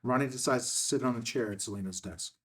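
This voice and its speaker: A man's voice: mid-range voice, fast, a bit bright. A man reading a film script's stage directions as narrator, in a matter-of-fact way.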